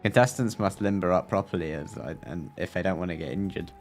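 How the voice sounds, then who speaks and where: A man's voice: deep voice, quick pace, loud music behind the voice. A man live streaming a game, playfully exclaiming and reading dialogue aloud.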